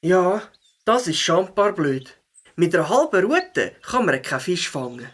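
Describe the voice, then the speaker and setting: A woman voicing a cheerful little beaver with a slightly husky voice and a Swiss German accent in a children's audio play. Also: lowish voice, a little slow. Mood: friendly. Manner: expressive, exclamatory. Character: cheerful little beaver who loves biking.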